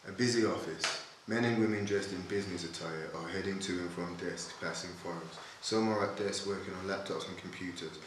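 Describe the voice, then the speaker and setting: A man's voice: low voice, fairly even intonation, fairly quick, few pauses. A man reading out screen directions as scripted narration at a table read. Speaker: reader of stage directions. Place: echoey indoor room. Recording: very echoey room, clear background noise.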